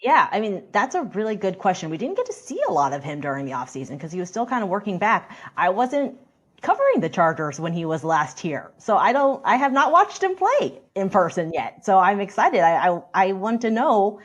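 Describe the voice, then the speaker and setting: A woman's voice: medium pitch, sing-song, a little fast, dull audio. A woman chatting casually as a sports reporter and guest on a talk show.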